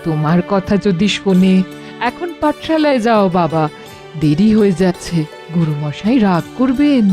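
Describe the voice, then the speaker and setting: A woman playing a devout, tender mother in a children's cartoon, speaking gently and soothingly. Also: mid-range voice, big pitch swings, measured pace, faint music behind.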